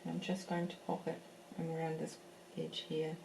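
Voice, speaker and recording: A woman's voice: deep voice, monotone, moderate pace, gravelly, noisy background. A woman teaching a live painting class from her home, chatting casually as she works and thinking aloud with fillers and restarts. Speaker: art teacher. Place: home in a French village.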